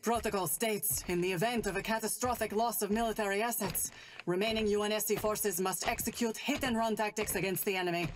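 An actress playing a calm, resolute woman super-soldier officer in a game, terse, grave and commanding. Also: mid-range voice, a little fast, slight background noise, lightly acted. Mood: somber, resolute. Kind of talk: military game audio log acting.

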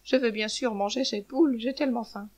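A woman's voice: fairly high pitch, fairly quick, darkish tone, highs cut off, close to an everyday voice. A woman voicing a proud but tender eagle in a children's story, earnest and self-questioning. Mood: bewildered, proud. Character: proud eagle, king of birds, turned tender. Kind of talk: children's storybook character reading.

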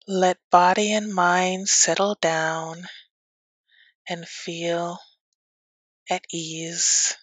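Woman, yoga nidra teacher, soothing guided meditation, very slow pacing, long pauses, dry close sound. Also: on the low side, level tone, dry recording.

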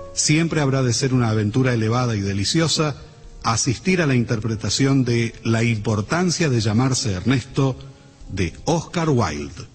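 A man with an Argentine accent gives a formal, measured, literary introduction to a radio play, reading from a script. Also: medium pitch. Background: music.

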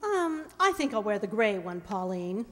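A woman's voice: mid-range voice, sing-song, moderate pace, background noise, close to an everyday voice. A middle-aged woman playing a breezy radio star in an old-time radio comedy, with dry comic delivery. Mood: wry, breezy. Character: wry, breezy female radio star at home. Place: stage in a large hall. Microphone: stand microphone. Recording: echoey hall stage performance.

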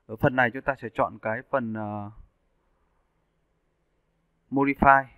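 A man giving a casual, step-by-step software tutorial as an animation instructor. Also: mid-range voice, fairly even intonation, unhurried, smooth, no room sound. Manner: informal step-by-step explaining. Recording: muffled narrowband phone-like audio.

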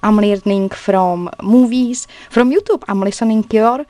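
A woman's voice: medium pitch, dark tone, no room sound. Chatty Czech woman with learner's English. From Czech Republic. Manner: chatty, laughs often, self-deprecating, halting learner's English. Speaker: crafter showing a handmade journal. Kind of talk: casual crafting video chat. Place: indoors at a craft table, filmed from above.

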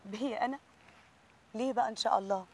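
A woman's voice: fairly high pitch, fast, darkish tone, a little hiss or hum, close to an everyday voice. An actress playing a young woman in love who speaks tenderly to her sweetheart, with an Egyptian accent. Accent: Egyptian Arabic. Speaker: Nora.

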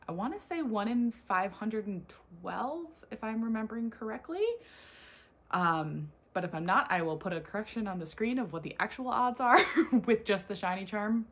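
A young woman vlogger chatting casually and expressively to camera in a husky voice. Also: medium pitch. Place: small furnished room lined with bookshelves, indoors.

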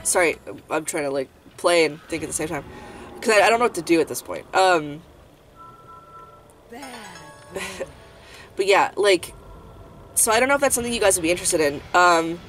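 A woman's voice: fairly low pitch, animated, moderate pace, pauses often, a bit bright, rough recording. A young woman chats loosely as she plays a game at home, her voice stuffy and congested from a lingering cold. She rambles, restarts and fills gaps with ums, with faint game music under her. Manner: rambling, many fillers and restarts. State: congested, sniffling. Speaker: gaming channel host. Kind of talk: casual let's-play chat. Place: home room, indoors.

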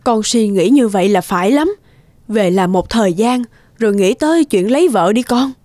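A woman voicing a middle-aged, well-off mother in an animated moral tale, insistent and nagging. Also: mid-range voice, normal speed, dry recording, clean studio sound, lightly acted. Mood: approving. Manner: reproachful, insistent.

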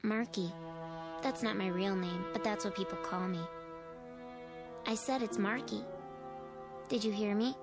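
A woman playing a calm, insistent, knowing little girl in a radio drama. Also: mid-range voice, unhurried, long pauses, close to an everyday voice. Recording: music under the voice.

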